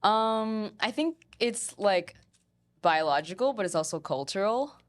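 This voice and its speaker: A woman's voice: medium pitch, lively intonation, a little slow. A young adult woman speaking as a guest in a casual, conversational debate.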